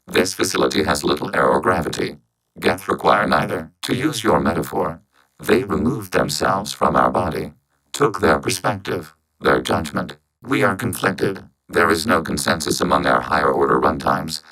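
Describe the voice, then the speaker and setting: An actor playing a calm, logical video game robot that speaks as a collective, with measured, emotionless, formal delivery and synthetic robotic processing. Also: male voice, extremely low pitch, vocal fry, heavily acted, rough recording. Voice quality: synthetic, machine-like.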